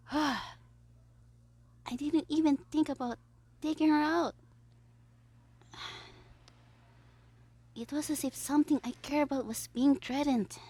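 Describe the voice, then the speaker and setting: A woman's voice: sits high, steady tone, slow, pauses often, no echo. A woman playing a mischievous, lovestruck young villain in an audio roleplay, scheming theatrically in a monologue. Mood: flustered, possessive. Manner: gleeful, swinging between exclamations and hushed asides.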